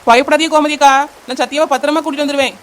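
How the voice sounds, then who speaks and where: Woman doing a brave young auntie, high voice, talks fast, keeps calming the kid. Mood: warm. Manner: reassuring, brisk, fast-talking. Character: brave young village woman, caring aunt. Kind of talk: amateur audio drama.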